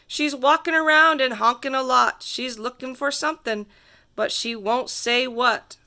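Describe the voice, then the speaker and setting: A woman reading a rhyming picture book aloud to children in a theatrical, sing-song way with drawn-out emphasis. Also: sits high, pushed and bright. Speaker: storybook reader.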